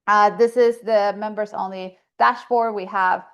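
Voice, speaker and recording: A woman with a Colombian accent giving brisk, friendly announcements to members in an online meeting. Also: mid-range voice, fairly even intonation, fairly bright tone, clean tone. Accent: Colombian Spanish. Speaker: association staff member.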